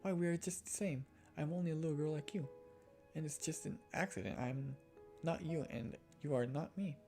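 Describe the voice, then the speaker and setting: A streamer voicing a kind, well-bred little schoolgirl with a gentle, reassuring manner in a visual novel. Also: mid-range voice, moderate pace, gravelly, soft background music, close to an everyday voice.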